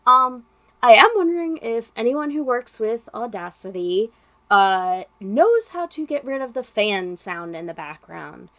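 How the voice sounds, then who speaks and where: A woman reading a story as narrator, with expressive, dramatic delivery. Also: a little high, a little slow. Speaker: podfic narrator.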